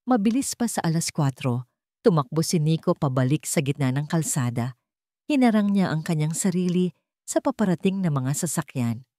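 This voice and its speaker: A woman narrates a dramatic story in a slightly low voice. Her pitch moves widely and expressively through each sentence. The recording is dry, with hardly any room sound. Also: animated, normal speed, warm tone, no room sound.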